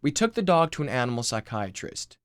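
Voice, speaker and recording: A man's voice: medium pitch, very animated, brisk, smooth, dry recording, studio quality, lightly acted. Man putting on a doting, smothering mom. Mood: scolding. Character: sweetly doting, smothering mother of a teenager.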